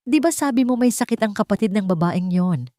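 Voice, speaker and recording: A woman's voice: mid-range voice, expressive, brisk, warm tone, dry recording, clean studio sound, voice pushed up, close to an everyday voice. A woman voicing a cold, scheming elderly mother-in-law in an audio drama, manipulative and urgent. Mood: tearful, agitated.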